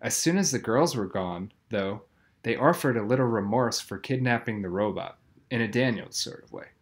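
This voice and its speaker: A man's voice: mid-range voice, lively intonation, normal speed. A man reading a children's novel aloud, telling the story expressively with plenty of emphasis. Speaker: audiobook reader.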